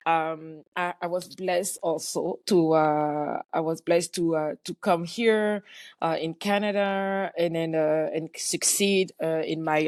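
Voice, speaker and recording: A woman's voice: fairly low pitch, no echo, studio quality. A woman, a podcast guest talking in an earnest, hesitant way, often restarting and searching for words. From Cameroon. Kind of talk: casual interview talk.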